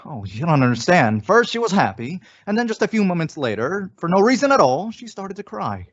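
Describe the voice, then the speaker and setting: An actor playing a well-meaning, befuddled husband and office worker in an old radio comedy. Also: male voice, high-pitched, very animated, a little fast. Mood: worried, puzzled. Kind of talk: 1950s radio sitcom acting. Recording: dull vintage radio recording.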